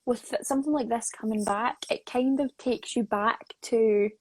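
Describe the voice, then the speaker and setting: A woman's voice: on the high side, moderate pace, slight background noise. A woman in her twenties hosting a casual live online chat, emphatic and often repeating her phrases. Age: 20s. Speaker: interview host, associate producer.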